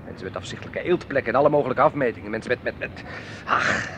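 An actor playing a grumbling, old-fashioned middle-aged husband in a radio drama, with a complaining, sardonic, dismissive manner. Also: male voice, medium pitch, lively intonation, fast talker, background noise, natural voice. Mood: sardonic, bitter.

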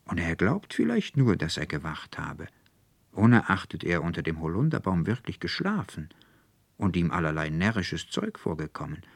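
A gentle girl of sixteen from a classic tale, read by a man, reasons softly and pleads mildly. The delivery is conciliatory and recorded close and dry. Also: deep voice, wildly swooping pitch, normal speed, gravelly, no echo, full character voice. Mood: gentle, defending.

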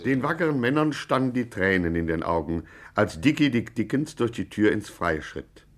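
An actor as the wry narrator, telling a mock-heroic gangster tale with solemn deliberation in a 1950s German radio play. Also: male voice, fairly low pitch, sing-song, moderate pace, a crowd in the background.

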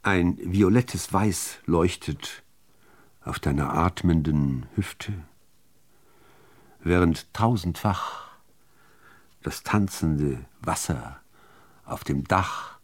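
A man reciting literary poetry in deliberate, measured phrases with pauses between them. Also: deep voice. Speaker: reader of poetry.

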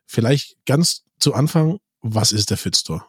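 A man's voice: medium pitch, expressive, measured pace, husky, no echo, clean studio sound. A man hosting a podcast interview, talking with his guests over a remote connection and setting up his questions plainly. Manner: conversational, sets up questions plainly. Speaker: podcast host. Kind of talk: casual interview chat. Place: remote recording, virtual studio.

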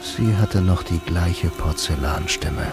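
A low-voiced blind loner narrates a noir radio play. He is brooding and dreamy, speaking in terse, lyrical phrases over prominent music. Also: slow. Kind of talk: first-person radio drama narration. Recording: music under the voice.

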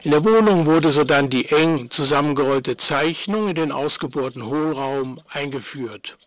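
A bright, fairly high-voiced spy clerk acted by a man in a radio drama. He reads a meticulous report in an officious, telegraphic way, very close and dry. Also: normal speed, dry recording, natural voice. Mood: brisk, factual. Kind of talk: German radio play, protocol reading.

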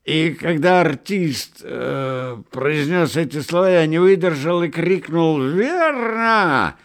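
A man voicing a drunk young officer telling a story loudly and gloomily. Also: a little high, expressive, takes it slowly, voice pushed up.

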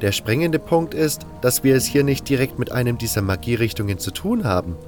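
A man's voice: mid-range voice, expressive, fairly quick, a little mellow, faint music behind, natural voice. A man voicing an eager, bookish young fire mage who lectures earnestly in a wordy way, in a fantasy audio drama. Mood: eager lecturing.

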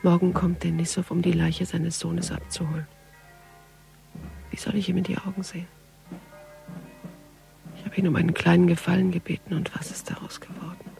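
An actress playing a weary, grieving woman police investigator, brooding in a hushed, intimate German radio crime drama. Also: fairly low pitch, little pitch movement, moderate pace, long pauses, soft background music. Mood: bitter, weary. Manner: hushed, breathy, half-whispered.

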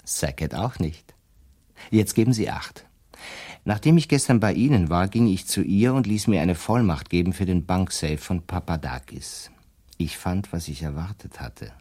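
An actor playing a shrewd, self-assured defence lawyer in a radio play, explaining his schemes deliberately and slyly. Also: deep voice, lively intonation, warm tone, breathy edge. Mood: confident, sly. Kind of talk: German radio drama dialogue.

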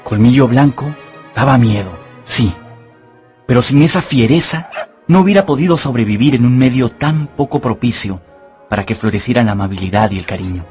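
Man, medium pitch, scripted dramatic narration, storyteller, music clearly behind. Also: moderate pace, a little mellow.

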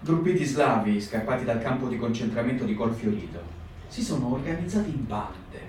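A man voicing a partisan calmly recounting the fugitives met in the countryside. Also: medium pitch, a little fast, slight background noise, close to an everyday voice. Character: male partisan describing fugitives in hiding. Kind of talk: stage reading for radio. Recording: echoey room, distant mic.

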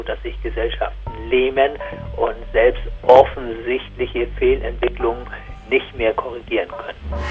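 A man's voice: mid-range voice, phone-quality sound. A man speaking as a scientific expert, explaining in a serious, spontaneous way, as in an interview.

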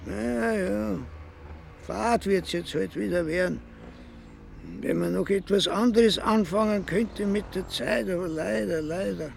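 Old Austrian village grumbler, high cracked voice, slow rustic storyteller, slight background noise. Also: male voice, gravelly, heavily acted. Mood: resigned, storytelling. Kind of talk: radio play acting in dialect.

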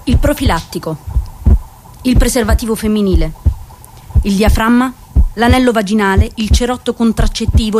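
Actress as high-voiced bitter young woman, noisy recording. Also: lightly acted. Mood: mocking, listing. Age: young adult. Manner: incantatory, list-like delivery. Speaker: stage actress. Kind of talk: theatrical recitation in litanies. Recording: clear background noise.

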